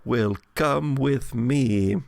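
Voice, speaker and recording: A man voicing a menacing golem butler in a horror audio game, stern, formal and deliberate. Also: mid-range voice, vocal fry, darkish tone, voice pushed up, full character voice. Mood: stern, commanding.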